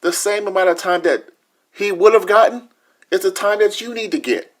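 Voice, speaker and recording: A man's voice: high voice, normal speed. A man with an American accent co-hosting a podcast in casual, colloquial talk, often checking that listeners agree with him. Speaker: podcast co-host. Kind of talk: casual opinionated panel talk.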